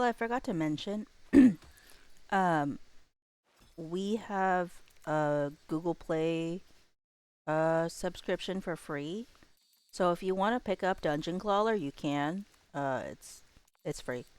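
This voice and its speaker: A woman livestreamer chatting casually while gaming, with a somewhat hesitant, halting delivery. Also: lowish voice, a little mellow, smooth, no room sound.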